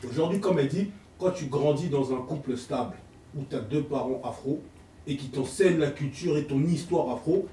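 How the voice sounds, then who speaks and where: A man's voice: on the high side, moderate pace, mellow. A man on a debate panel, explaining and arguing casually, often checking that listeners follow. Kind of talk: heated casual group debate. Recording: roomy, distant mic with background noise.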